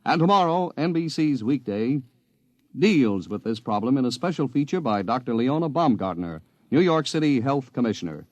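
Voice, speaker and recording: A man delivering a vintage radio station promo in a lively, salesy voice that rises and falls. The recording is close and dry, with no room sound. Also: medium pitch, moderate pace, dry recording, dull audio. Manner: upbeat, salesy. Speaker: network commercial announcer.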